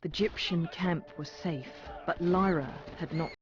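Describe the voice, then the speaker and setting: Higher-pitched male fantasy storyteller. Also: a little slow. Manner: dramatic storyteller delivery. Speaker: narrator. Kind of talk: scripted storybook narration for a fantasy game. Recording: muffled game audio under music.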